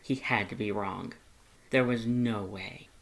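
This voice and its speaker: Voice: low voice, steady tone, unhurried, gravelly, low-quality recording. A narrator reading a fan fiction story aloud from a script.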